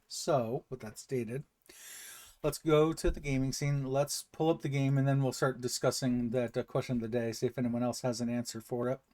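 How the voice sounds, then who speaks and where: A man streaming from home, chatting casually and rambling through shout-outs and plans with many pauses and restarts. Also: mid-range voice. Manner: rambling, lots of ums and restarts. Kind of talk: casual livestream chat.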